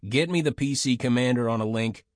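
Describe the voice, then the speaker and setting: A blunt military chief played by a male narrator with a short temper and an air of authority. His mid-pitched voice is dry and close, giving stern orders in an audiobook. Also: brisk, no echo, close to an everyday voice. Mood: irritated. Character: commanding, hot-tempered male head of military enforcers.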